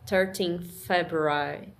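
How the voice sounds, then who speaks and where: Woman, halting English monologue, very slow and soft-spoken. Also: fairly low pitch, steady tone, slight background noise. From Brazil. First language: Portuguese. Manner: halting, searching for words, many pauses and restarts. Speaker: vlogger. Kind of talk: personal reflective monologue.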